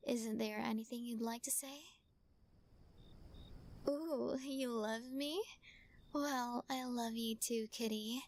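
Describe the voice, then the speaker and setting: A woman voicing a sweetly possessive witch in an audio roleplay, talking softly and teasingly and often dropping into whispers. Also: a little high, dry recording, natural voice. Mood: doting. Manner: soft, teasing, coaxing, slips into whispers.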